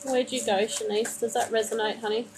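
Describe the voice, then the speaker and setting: Gentle woman giving a tarot reading. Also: a little high, level tone, a little fast, music in the background, rough recording. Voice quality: gentle, soothing, calls listener honey. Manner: meandering, many fillers and restarts. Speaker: tarot card reader. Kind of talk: live-streamed card reading to a viewer.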